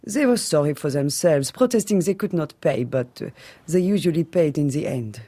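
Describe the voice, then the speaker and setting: A woman's voice: sits low, expressive, normal speed, dark tone, no echo. An actress playing a defensive, unsentimental French lady's maid in a radio drama, formal and emphatic, with a French accent.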